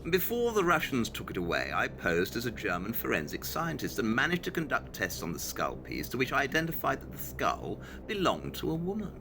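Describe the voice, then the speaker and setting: A man's voice: medium pitch, wildly swooping pitch, brisk, few pauses, background noise. An actor playing a brilliant, self-assured detective in an audio drama, precise and dryly condescending as he lays out his deductions. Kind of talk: audio drama acting, expository dialogue.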